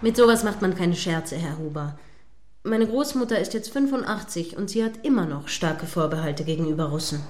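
A woman's voice: fairly low pitch, expressive, normal speed, a little mellow, a little hiss or hum, lightly acted. A woman playing a prim, indignant news commentator in a radio play, judgmentally describing events step by step as they happen.

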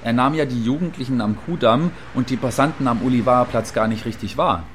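A man's voice: mid-range voice, fairly quick, a little hiss or hum, close to an everyday voice. A man playing a stubborn, provocative radio field reporter in a radio play, musing hesitantly yet insisting on his view. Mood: insistent. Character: opinionated male field reporter, stubborn, quietly admiring, provocative.

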